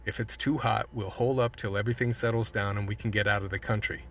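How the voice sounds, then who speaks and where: A man's voice: fairly low pitch, fairly even intonation, fast talker, fairly bright tone, slight background noise. A man playing a determined cop, earnestly persuading his team in a radio play. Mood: decisive.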